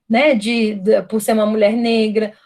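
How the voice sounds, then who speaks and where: A woman's voice: on the high side, little pitch movement, unhurried, a little mellow. A Brazilian woman giving an online university lecture, talking thoughtfully with frequent tag questions and restarts. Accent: Brazilian Portuguese. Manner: thoughtful, many "né?" tags and restarts. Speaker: guest lecturer.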